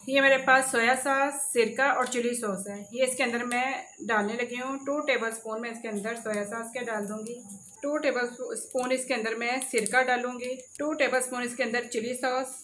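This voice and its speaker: A woman's voice: fairly high pitch, fairly even intonation, normal speed, a bit bright. A woman, hosting a cooking channel and narrating a recipe step by step, addressing viewers directly from a home kitchen. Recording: echoey room with faint background noise.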